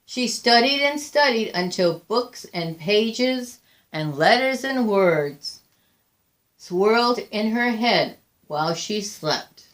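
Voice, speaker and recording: Female storybook reader. Slow, deliberate delivery, animated intonation, drawn-out key words. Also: on the high side. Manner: deliberate, expressive, with drawn-out emphasis and pauses. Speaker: volunteer picture book reader. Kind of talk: read-aloud storytelling of a children's picture book.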